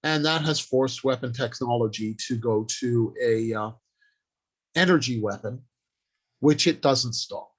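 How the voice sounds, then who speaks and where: Guy teaching a writing workshop, really slow and halting, lots of pauses, informal and deliberate. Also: medium pitch, fairly bright tone, breathy edge. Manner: halting, deliberate delivery in short phrases with pauses. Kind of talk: informal instructional talk on writing.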